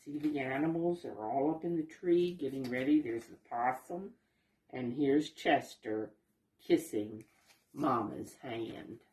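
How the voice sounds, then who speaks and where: A woman reading a picture book aloud to young children, explaining the pictures simply and gently as she goes. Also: rumbling low, takes it slowly, recorded from a distance. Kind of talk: expressive read-aloud storytelling with asides to young listeners. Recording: roomy echo, voice away from mic.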